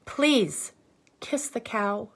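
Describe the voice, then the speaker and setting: Lady doing a bossy mom from a kids farm story, voice goes up high, firm and pushy questions. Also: animated, extremely slow, gravelly, exaggerated voice. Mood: pleading. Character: insistent farm mother in a children's storybook.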